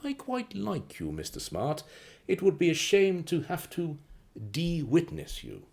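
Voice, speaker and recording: An actor in a radio play playing a suave, menacing crime boss with a mockingly genteel, condescending and drawn-out delivery. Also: male voice, fairly high pitch, huge pitch swings, vocal fry, a little mellow, full character voice. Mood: silky menace. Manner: theatrical, condescending, chuckling politeness with drawn-out phrases.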